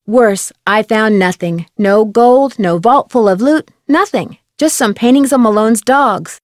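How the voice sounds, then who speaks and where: A woman's voice: on the high side, a little slow, dry recording, clean studio sound, lightly acted. A woman playing a curious young amateur detective in a game, explaining things articulately and matter-of-factly. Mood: frustrated. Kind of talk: scripted game voice acting.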